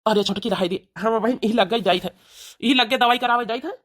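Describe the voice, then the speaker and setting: Woman voicing a fast, blustering village husband. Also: on the high side, natural voice. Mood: hurried. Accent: Awadhi. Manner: blustering, indignant. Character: blustering village husband, protective of mother and sister. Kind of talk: comedy cartoon dialogue.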